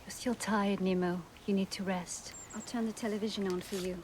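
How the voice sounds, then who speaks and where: A woman playing a patient, soothing wife and mother in a film drama, speaking softly. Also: medium pitch, steady tone, fairly quick, slight background noise, lightly acted.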